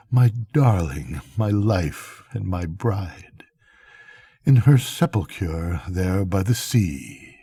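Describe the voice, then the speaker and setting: An older man reads poetry at an unhurried pace in a low-pitched, husky voice. His delivery is solemn and dramatic, like a grandfather at bedtime, with measured pauses between phrases. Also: mellow, no room sound, studio quality. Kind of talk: slow dramatic poetry reading.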